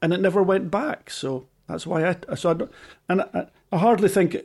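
Man, mild Scottish accent, slightly high voice, halting delivery, casual podcast talk. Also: vocal fry, a little mellow. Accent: softened Scottish. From Scotland. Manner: halting, repeats words as he talks. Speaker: podcast panellist, former rally team boss. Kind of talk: casual chat.

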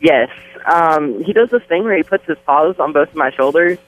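A man's voice: fairly high pitch, animated, normal speed. A young man in his twenties calling into a radio show, talking earnestly and openly. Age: 20s. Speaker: caller. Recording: tinny phone line.

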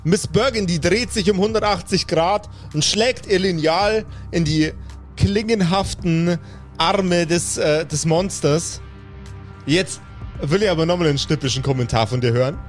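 A man's voice: sits high, measured pace. A man narrating an improvised tabletop game as game master, describing the action in detail as he makes it up. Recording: music playing behind the voice. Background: background music.

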